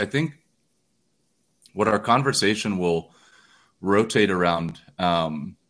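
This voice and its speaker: Man, very deep voice, podcast host, american, halting speech full of um and uh. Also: a bit bright. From United States. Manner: rambling, many fillers and restarts. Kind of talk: casual interview intro.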